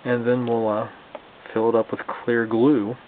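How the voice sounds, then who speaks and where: A man's voice: mid-range voice, flat delivery, a little slow. A man giving a casual, hands-on demonstration to viewers as a hobbyist restorer, talking informally and folksily as if thinking aloud. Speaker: hobbyist radio restorer and video host. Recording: lo-fi, phone-like band, slight background noise.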